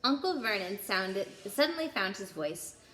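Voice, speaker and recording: A woman reading a children's novel aloud as a storytime host, with expressive narration. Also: medium pitch, normal speed, a little hiss or hum. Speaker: storytime reader. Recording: roomy indoor echo.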